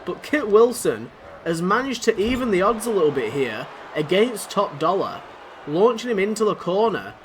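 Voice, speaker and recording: A man doing excitable, rambling play-by-play commentary over a wrestling video game, his voice going hoarse. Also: high voice, moderate pace. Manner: excitable, rambling, self-deprecating. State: losing his voice. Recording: noisy background.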